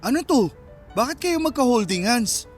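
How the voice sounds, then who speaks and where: A dramatic young best friend in a radio romance. His voice is extremely high for a man. He is indignant and easily hurt, over faint music. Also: big pitch swings, normal speed. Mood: suspicious. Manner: indignant, exaggerated questioning.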